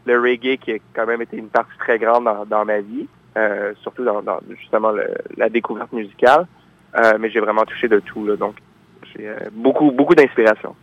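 A man's voice: on the low side, steady tone, brisk, no echo. A young man, born in France, answering interview questions as a singer-songwriter, with many fillers and restarts. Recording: dull lo-fi recording.

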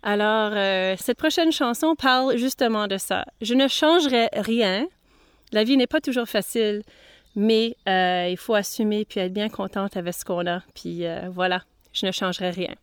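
A woman's voice: mid-range voice, clean tone, no room sound. A middle-aged woman from Manitoba with a Franco-Manitoban French accent, a singer-songwriter introducing herself casually and hesitantly between songs. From Manitoba, Canada. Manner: unhurried and hesitant, many pauses and "euh"s.

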